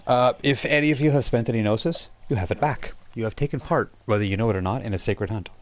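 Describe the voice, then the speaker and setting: A man's voice: mid-range voice, fast talker, a little hiss or hum. A man with an informal, explanatory way of talking, improvising as game master of an online role-playing game.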